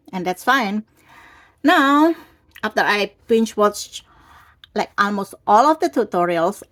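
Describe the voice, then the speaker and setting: Woman, craft channel host, getting over a cold, chatty, rambling, casual, tabletop recording. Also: medium pitch. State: recovering from a cold, lingering cough. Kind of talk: casual craft tutorial talk. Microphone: overhead camera on a tabletop.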